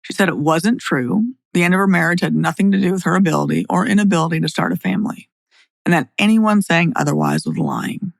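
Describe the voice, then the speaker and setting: Middle aged woman podcast host, blunt lawyer type, gravelly fry in her voice, dry close sound. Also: lowish voice, very animated, a little fast, no echo. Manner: blunt, plain-spoken. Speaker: podcast host and divorce attorney. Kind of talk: scripted narration and opinionated podcast talk.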